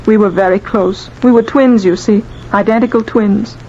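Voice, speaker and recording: Actress as frightened young bride, muffled old radio. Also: female voice, medium pitch, steady tone, darkish tone, natural voice. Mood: anxious, confiding. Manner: halting, earnest, dramatic. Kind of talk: 1950s radio drama acting. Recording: dull, noisy old radio recording.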